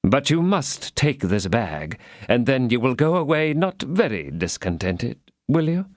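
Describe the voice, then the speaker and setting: A man voicing a scheming older cardinal who speaks in a measured, falsely paternal tone. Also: mid-range voice, very animated, dry recording, studio quality, pitched above the performer's own voice. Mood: smooth. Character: scheming, cold-hearted older male cardinal.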